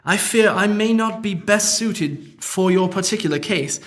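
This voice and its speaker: A solemn, formal tutor with an accent, played by a man, polite and earnest. His voice sits high for a man. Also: a little slow, fairly bright tone.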